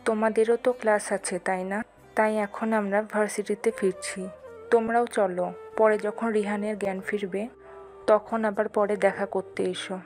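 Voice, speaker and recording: A kindly but firm lady teacher played by a woman in an audio drama. She talks in a composed, instructive way at an ordinary female pitch, with soft music behind. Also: little pitch movement, normal speed, lightly acted. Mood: calm, reassuring.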